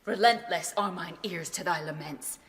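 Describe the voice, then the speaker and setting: A woman's voice: lowish voice, normal speed, a bit bright, slight background noise, close to an everyday voice. An actress playing a defiant, bereaved young noblewoman who performs as a tragic queen, scornful and impassioned in classical verse. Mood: scornful, vengeful. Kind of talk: classical verse radio tragedy.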